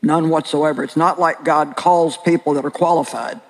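An older woman with a very deep voice preaching a sermon and sharing her own story in an earnest, plain-spoken way with slow, deliberate pauses. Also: steady tone, a little mellow, big-room sound, poor audio. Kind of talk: sermon with personal testimony. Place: large hall with an audience.

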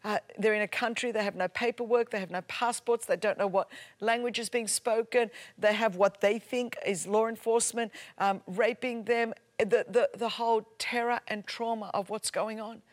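A woman's voice: on the high side, steady tone, normal speed. A woman, a native Greek speaker, preaching and telling a story with mounting passion and emphatic repetition. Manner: impassioned storytelling, builds to loud emphatic repetition. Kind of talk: sermon.

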